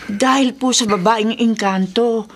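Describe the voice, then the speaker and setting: A woman's voice: on the high side, unhurried, slight background noise, lightly acted. An actress playing a superstitious, gossipy middle-aged mother in a horror radio drama, earnest and deferential. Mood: earnest warning.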